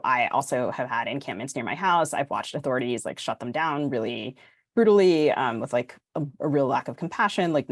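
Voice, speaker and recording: American female podcast host, extremely low pitch, close dry sound, reflective and tentative in casual discussion. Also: no room sound.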